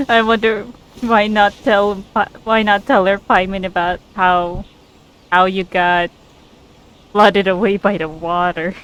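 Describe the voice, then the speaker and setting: A gamer giving casual, halting commentary on a playthrough, often correcting themselves. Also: medium pitch, steady tone, fairly bright tone, clean tone, rough recording.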